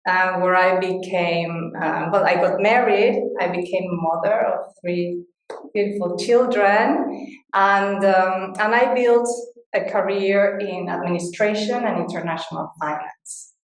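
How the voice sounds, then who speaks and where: A middle-aged woman from Mexico, a guest talking in a hesitant way, pausing often with fillers. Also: fairly low pitch. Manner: hesitant, pausing with many fillers and soft laughs. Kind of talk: casual interview chat. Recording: very echoey room.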